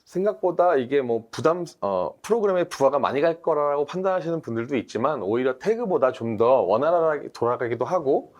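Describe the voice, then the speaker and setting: A man's voice: fairly high pitch, lively intonation, fairly quick, few pauses, darkish tone, muffled recording. Man explaining a workflow step by step. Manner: detailed step-by-step explaining, frequent fillers. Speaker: BIM design engineer. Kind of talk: webinar technical explanation.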